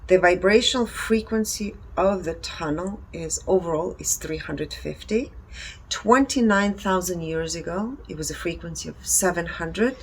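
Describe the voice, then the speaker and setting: A woman's voice: lowish voice, normal speed, a little hiss or hum, poor audio. A woman presenting findings and personal commentary in short, emphatic, earnest phrases. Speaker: presenter reporting energy readings.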